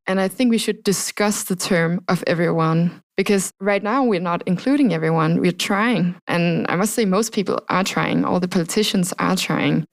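Woman explaining earnestly. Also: fairly low pitch. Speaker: interview guest, urban planner. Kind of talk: casual interview conversation.

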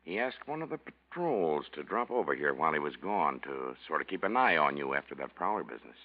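A calm police detective with a very deep voice and a lively, rolling delivery, laying out facts in a dry, matter-of-fact way. The recording is dull and old, like vintage radio. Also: male voice, brisk, heavily acted. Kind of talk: 1940s radio acting.

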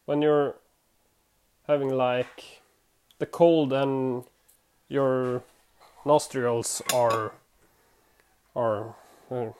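A podcast host from Sweden, a native Swedish speaker with a Swedish accent, talking casually in English and often pausing to search for words. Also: mid-range voice, steady tone, darkish tone, clear, resonant tone.

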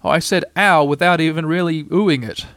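A man voicing a kindly, slow-witted toy bear who thinks aloud in a bumbling, earnest way for a storybook reading. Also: fairly high pitch, a bit bright, no echo, studio quality. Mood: bothered, flustered.

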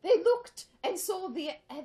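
A woman's voice: very high-pitched, big pitch swings, unhurried, creaky, mellow, voice pushed up, exaggerated voice. A woman putting on the voice of a prim elderly British lady to read a story aloud, with a put-on British accent and a theatrical manner. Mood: storytelling. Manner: theatrical, giggling.

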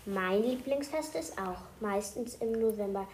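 A woman's voice: medium pitch, a little slow, a little mellow, slight background noise, lightly acted. A woman playing a curious, enthusiastic girl who explains things carefully in a children's audio play. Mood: explanatory.

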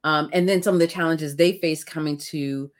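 A woman's voice: low-pitched, steady tone, moderate pace. An American woman hosting an interview podcast, building her questions thoughtfully with many fillers. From United States. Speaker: podcast host. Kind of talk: casual interview conversation.

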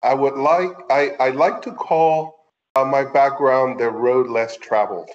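A middle-aged man with a Puerto Rican accent, a native Spanish speaker, talking conversationally as an interview guest with halting phrasing. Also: medium pitch, fairly even intonation, dull audio. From Puerto Rico. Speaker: veterinarian, podcast guest.

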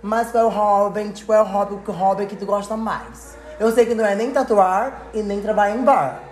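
A woman's voice: mid-range voice, fairly even intonation, fairly quick, darkish tone, soft background music. Young woman, chatty and giggly, in an echoey room. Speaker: guest and co-interviewer. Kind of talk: casual joking chat between friends.

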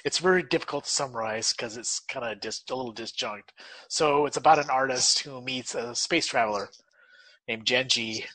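A man's voice: mid-range voice, moderate pace, pushed and bright, rough recording. A man on a podcast panel, chatting casually and a little hesitantly as he searches for words.

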